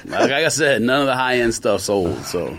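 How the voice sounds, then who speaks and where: A man's voice: medium pitch, expressive, moderate pace, a bit bright, a little hiss or hum. A man hosting a podcast, chatting casually in a loose, slangy way with lots of fillers. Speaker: podcast host. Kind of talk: casual chat.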